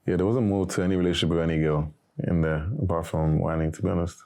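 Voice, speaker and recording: Man, young adult, very deep voice, monotone, casual interview answers. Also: fast talker. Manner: monotone, not very animated. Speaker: evicted reality show contestant.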